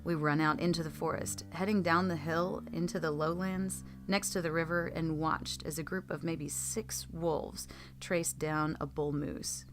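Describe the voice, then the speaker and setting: A woman's voice: deep voice, steady tone, a little slow, soft background music. A woman reading creepy first-person stories aloud as a narrator, in scripted storytelling style. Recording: clearly noisy background.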